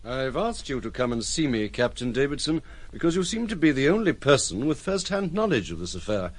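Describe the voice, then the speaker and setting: An actor playing a formal, courteous high official questioning a witness in an audio drama. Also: male voice, sing-song, brisk.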